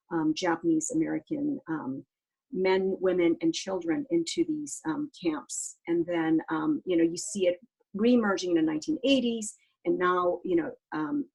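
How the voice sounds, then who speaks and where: A woman speaking as an academic panelist, explaining haltingly in an informal presentation and often leaning on "you know.". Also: medium pitch, creaky, darkish tone, husky, low-quality recording.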